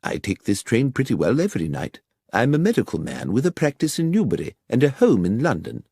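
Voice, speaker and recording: A man's voice: mid-range voice, huge pitch swings, brisk, vocal fry, a little mellow, breathy edge, no room sound, studio quality, heavily acted. A man voicing an elderly, genial country doctor who talks in a chatty, obliging way, as in an audiobook. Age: older.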